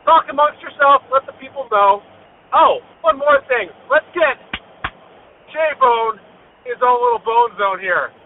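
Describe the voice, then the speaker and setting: A man's voice: very high voice, very animated, a little slow. A man from the United States leaving a casual voicemail message on a muffled, noisy phone recording. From Indianapolis, United States. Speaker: voicemail caller.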